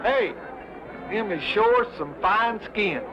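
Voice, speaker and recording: A man's voice: sits high, animated, slow. An actor playing an uncouth, hearty mountain man with a rural American frontier accent in a western drama. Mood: hearty, folksy. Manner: rustic, hearty, blunt. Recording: dull old soundtrack, music behind.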